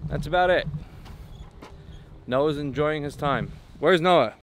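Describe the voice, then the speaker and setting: A man's voice: on the high side, slow pace. A man in his thirties chatting casually as a family vlogger, to the camera and to a pet. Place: mostly outdoors at a marina and patio, later garage and home. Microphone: camera held at arm's length. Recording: clear background noise, handheld camera outdoors.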